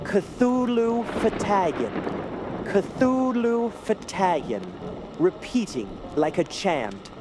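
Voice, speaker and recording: An actor playing an eccentric, haughty sculptor haunted by dreams, in a theatrical, brooding radio drama style. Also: male voice, sits high, very animated, very slow, background noise, full character voice. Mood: haunted, entranced. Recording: loud music behind the voice. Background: music.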